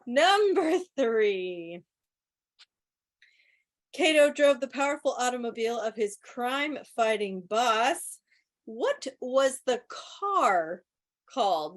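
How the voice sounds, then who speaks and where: A woman hosting a quiz in a casual, chatty style, with wry joking asides, speaking on an online video meeting. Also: fairly high pitch. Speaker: quiz host. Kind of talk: casual live trivia commentary.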